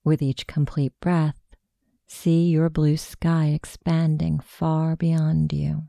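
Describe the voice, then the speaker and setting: A woman narrating a guided meditation, giving soft, deliberate, soothing instructions into a close microphone. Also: deep voice, flat delivery, vocal fry, no echo.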